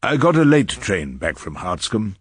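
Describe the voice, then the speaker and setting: Wry old English barrister, low-voiced. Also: male voice, big pitch swings, husky, no echo, clean studio sound. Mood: wry narration. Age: older. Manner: wry, unhurried, ironic. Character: irascible, wry old male barrister narrating his cases. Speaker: barrister and narrator. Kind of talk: radio drama dialogue and first-person narration.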